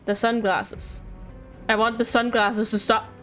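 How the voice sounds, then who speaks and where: A woman's voice: a little high, level tone, a little slow, fairly bright tone, low-quality recording. A woman streamer giving casual game commentary in a playful, pleading and apologetic way. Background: game music.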